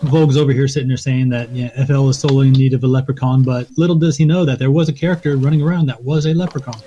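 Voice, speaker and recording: A man from Hawaii with a husky voice, teasing and casual as a podcast panelist. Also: medium pitch, fairly even intonation, racing, dark tone, slight background noise. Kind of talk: casual banter. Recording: dull lo-fi voice chat.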